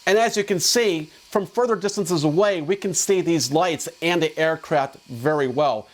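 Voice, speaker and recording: A man's voice: on the high side, normal speed, rough recording. A man presenting drone equipment in a casual, conversational, explanatory product demo.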